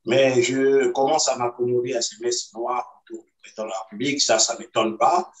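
A man defending his party in a video-call debate, measured and full of hesitations. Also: medium pitch, fairly even intonation. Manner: measured, hesitant with many fillers, insistent. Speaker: party activist guest. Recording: roomy echo, distant from mic.

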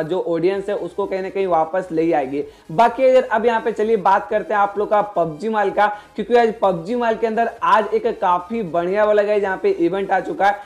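A man's voice: high-pitched, darkish tone. A man doing a casual explainer voice-over as a gaming host, repeatedly addressing his viewers. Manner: repetitive, addresses viewers constantly as guys.

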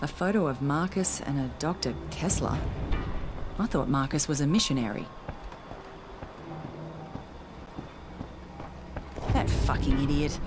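An actress playing a tough, impatient woman survivor in a video game, blunt, direct and exasperated. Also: deep voice. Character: tough, foul-mouthed, impatient female survivor. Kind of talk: video game cutscene acting.

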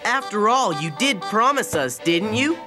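A woman's voice: a little high, expressive, normal speed, natural voice. A woman playing an earnest, enthusiastic young school pupil in a cartoon. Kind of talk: animated cartoon dub acting. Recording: loud music under the voice.

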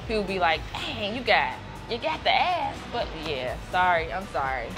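A woman's voice: mid-range voice, sing-song, moderate pace. Woman, guest, casual chat, giggly, slangy, music behind. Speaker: guest, gym partner.

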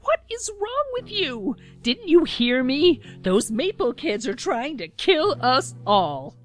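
A woman's voice: sits high, big pitch swings, takes it slowly, faint music behind, exaggerated voice. An actress playing a frantic, paranoid, accusing woman in a radio play, agitated and insistent.

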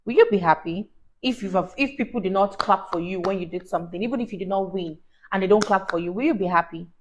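A young woman reading a story aloud to a child, expressive and teacher-like, emphasising words and asking questions. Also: medium pitch, fast, background noise. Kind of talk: animated read-aloud to a child. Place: small indoor room, on a sofa. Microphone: clip-on lapel mic.

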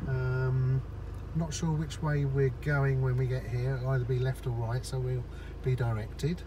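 Male vlogger, mid-pitched, relaxed chatty narration from the driver's seat, traffic noise clearly audible. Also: a little slow. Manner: chatty, explains each step to viewers. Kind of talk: casual narration while driving. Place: inside a car in traffic. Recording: in-car recording with road noise.

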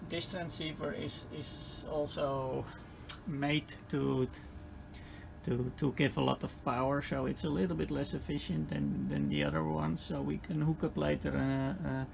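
Male hobbyist demonstrator, Dutch accent, heavy vocal fry, hesitant off-the-cuff delivery, noisy recording. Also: mid-range voice, sing-song. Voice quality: gravelly. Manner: halting, hesitant phrasing with repeated words. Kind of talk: unscripted equipment demonstration. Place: indoor electronics workbench. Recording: clearly noisy room recording.

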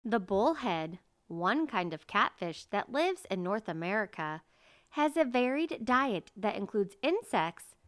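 A woman reading a children's nature picture book aloud as narrator, in a measured, carefully enunciated way. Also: mid-range voice, very animated, no echo, studio quality.

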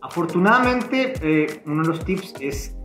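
A man, giving earnest advice to camera as a barber instructor, pausing often with fillers and restarts. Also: on the high side, lively intonation, echoey room, over music. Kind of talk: casual explanatory talk to camera. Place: living room at home, indoors.

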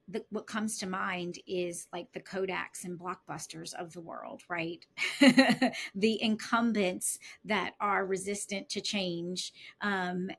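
A woman's voice: fairly low pitch, fairly bright tone. A woman host interviewing a guest over a video call, asking friendly questions with some fillers.

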